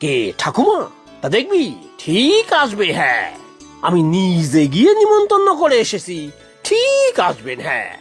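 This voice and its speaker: A woman's voice: mid-range voice, wildly swooping pitch, a little slow, faint music behind. A woman voicing a comic, boastful, food-loving father in a cartoon, with blustering, exclaiming delivery and emphatic little tag words. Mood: eager, emphatic. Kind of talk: exaggerated cartoon voice acting.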